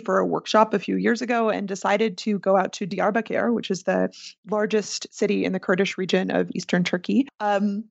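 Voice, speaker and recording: A woman's voice: mid-range voice, fairly even intonation, moderate pace, gravelly, no room sound, dull audio. A woman political scientist answering interview questions in a candid, conversational way. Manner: candid, conversational, self-deprecating.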